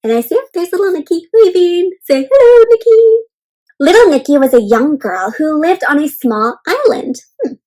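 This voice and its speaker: Female children's read-aloud host. Notably high voice, expressive and encouraging, engages young listeners with questions. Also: rough recording. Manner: expressive, encouraging teacher-like delivery.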